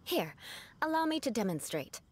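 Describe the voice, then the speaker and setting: A woman's voice: fairly high pitch, very animated, normal speed, breathy edge. An actress playing a proud young aristocratic woman knight in a video game, aloof and formal with precise, haughty diction. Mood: composed, instructive. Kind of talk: scripted video game voice acting.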